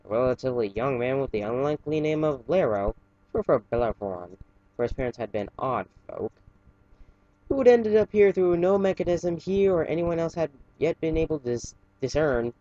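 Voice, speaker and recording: A man casually reading fan fiction aloud in a halting way, stumbling and correcting himself, with chatty asides. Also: a little high, lively intonation, mellow, no room sound, highs cut off.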